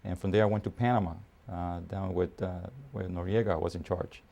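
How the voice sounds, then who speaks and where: A man in his fifties from Puerto Rico, raised in New York, speaking as an army officer in a documentary interview, measured with frequent pauses and fillers. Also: deep voice, fairly even intonation, vocal fry, mellow. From Puerto Rico, raised in New York City. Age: 50s.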